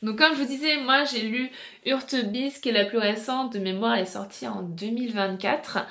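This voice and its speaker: A woman's voice: on the high side, normal speed, a bit bright. A woman presenting an informal video essay as a book vlogger, chatty and explanatory, speaking straight to her viewers.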